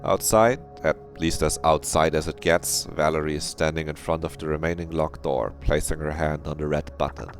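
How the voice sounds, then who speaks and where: A man's voice: very deep voice, completely flat delivery, a little fast, hardly any pauses, soft background music. A man reading a visual novel aloud on a livestream, with casual, joking, sweary asides.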